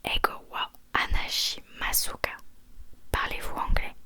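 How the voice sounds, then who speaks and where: A woman whispering an ASMR roleplay as a patient, encouraging language teacher, repeating phrases softly. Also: unhurried. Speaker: ASMR creator playing a Japanese teacher.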